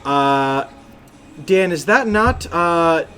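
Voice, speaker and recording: Male co-host, slightly high pitch, highly animated delivery, casual banter with jokey mock formality. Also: drawn-out words. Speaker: podcast co-host. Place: inside a game store.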